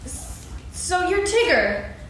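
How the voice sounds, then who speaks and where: A tiny, timid young pig, as a woman voices it, very high and bright in pitch with a lively lilt. It is heard from afar in an echoey hall with noise behind. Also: slow pace, heavily acted. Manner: timid and polite. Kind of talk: staged reading of a children's play. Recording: echoey stage, distant mic, background noise.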